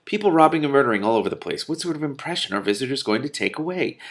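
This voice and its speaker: A man's voice: medium pitch, animated, racing, fairly bright tone, pitched above the performer's own voice. A man voicing an officious troll guildmaster from a fantasy novel, speechifying with earnest indignation. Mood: officious, indignant.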